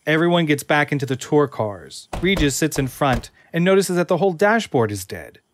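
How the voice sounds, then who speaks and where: A man's voice: a little high, big pitch swings, normal speed, slight background noise. A man narrating a scripted audio drama, reading screenplay action.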